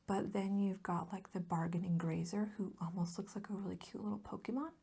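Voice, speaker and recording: A woman gives a soft-spoken ASMR voiceover, close to the mic. Her voice is mellow and breathy, often slipping toward a whisper with a creaky fry. She chats casually and a little ramblingly, with gentle enthusiasm. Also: medium pitch. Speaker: ASMR video host.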